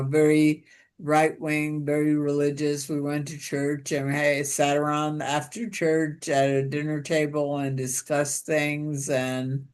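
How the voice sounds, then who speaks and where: Slow, musing woman interviewer. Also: sits low, clear, resonant tone. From Pakistan. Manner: slow and musing, with many restarts and "you know". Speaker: interviewer and show host. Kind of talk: casual conversational interview.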